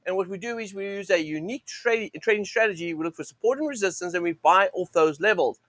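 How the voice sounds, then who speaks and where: A man with a high, croaky voice, strained from a sore throat, hosts a live market show. He rambles on through fillers and false starts, leaning on the same stock phrases. The sound is close and dry, with no room around it. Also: quick pace, a bit bright, no room sound. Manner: rambling, many fillers and restarts, "go ahead and". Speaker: host of a live forex trading show. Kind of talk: live-stream teaching monologue.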